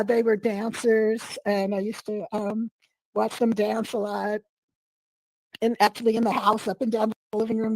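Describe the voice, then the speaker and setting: Hoarse woman with a cold. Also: on the high side, a little mellow, low-quality recording. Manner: rambling, many fillers and restarts. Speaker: guest artist. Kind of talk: casual interview chat.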